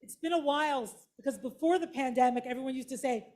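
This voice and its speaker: High-voiced woman from Brooklyn, chatty service leader greeting newcomers. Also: quick pace, a little mellow, soft background music. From Brooklyn, New York. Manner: chatty, informal, greets people by name. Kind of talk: casual welcoming of a congregation. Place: prayer hall with attendees and online viewers.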